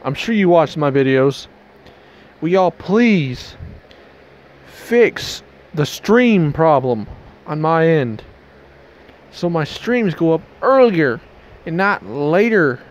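Young male streamer, slightly high voice, loose rambling outro on a headset mic, small bedroom. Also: very animated, slow pace, muffled recording. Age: young adult. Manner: rambling, loose restarts, Southern y'all. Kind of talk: casual stream outro to camera.

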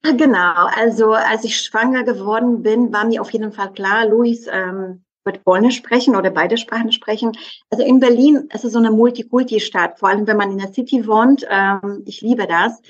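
Zögernde Frau mit polnischem Akzent, dumpfer Klang. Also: female voice, a little high, moderate pace, dull audio. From southeastern Poland. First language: Polish. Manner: hesitant, many fillers. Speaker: guest. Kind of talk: conversational interview.

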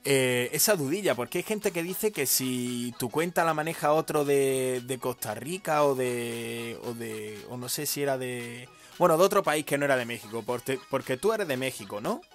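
Host read: young man from Spain, upbeat, slangy, mid pitch, light music bed. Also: normal speed, hardly any pauses. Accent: Spain Spanish. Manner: upbeat, informal, says "tío". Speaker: host, gaming channel. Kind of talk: casual interview questions.

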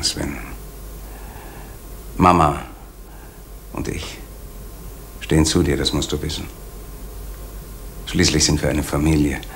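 A man's voice: very deep voice, vocal fry, fairly bright tone, a little hiss or hum, full character voice. An actor playing a stern middle-aged father who is firm and controlling, in an old radio drama. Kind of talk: 1970s-80s radio drama acting.

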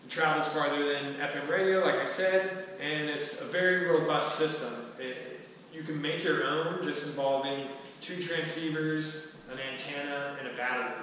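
Far from the microphone in a very echoey hall sounds a man with a bright, forceful voice. He presents casually, pausing and restarting as he thinks aloud. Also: fairly high pitch, steady tone, a little hiss or hum. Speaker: presenter at a skillshare. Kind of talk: informal talk to a small group. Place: large wooden-floored hall, indoors. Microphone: distant camera microphone.

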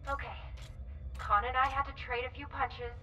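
Bright, high-voiced feisty crewwoman. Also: level tone, moderate pace, far from the mic, poor audio. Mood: teasing. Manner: sarcastic, forceful. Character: feisty, brash young spaceship crewwoman. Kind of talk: video game voice acting.

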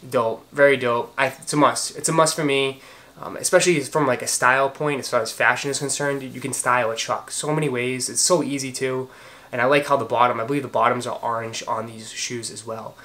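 A man in his thirties with a Boston accent talks casually to a camera in a room at home. He is chatty and eager, full of fillers and quick restarts, at a middle pitch. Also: steady tone, pushed and bright. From Boston, Massachusetts. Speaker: sneaker vlogger. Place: home room with shoe shelves, indoors.

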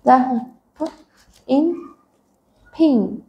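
A woman in her thirties leads a one-on-one phonics lesson, breaking each word into slow, deliberate sounds. Her voice sits a little high and moves at a very unhurried pace. The small classroom adds a noticeable echo. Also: muffled recording, slight background noise. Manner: patient, slow, sounding out words letter by letter. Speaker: English teacher. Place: small classroom with a world map on the wall.

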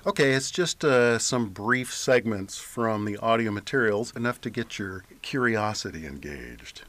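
A man's voice: fairly low pitch, lively intonation, measured pace, a bit bright. A man speaking as a guest author in a radio interview.

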